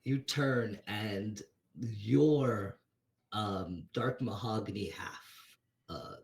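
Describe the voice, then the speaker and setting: Man, game master, low voice, unhurried, hesitant scene narration. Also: vocal fry, a little mellow. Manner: hesitant, searching for words while describing scenes.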